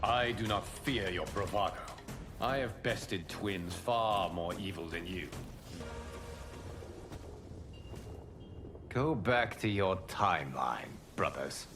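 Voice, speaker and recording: Low-voiced, solemn heroic leader. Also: male voice, lively intonation, unhurried. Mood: resolute. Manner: formal, declarative, commanding. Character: solemn heroic male leader, resolute protector. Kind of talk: dramatic video game acting. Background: dramatic music.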